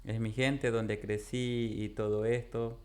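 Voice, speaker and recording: A man in his thirties from Ecuador, a missionary pastor guest describing his background in a plain, unhurried way. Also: medium pitch, little pitch movement. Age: 30s. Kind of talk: interview answers. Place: radio studio.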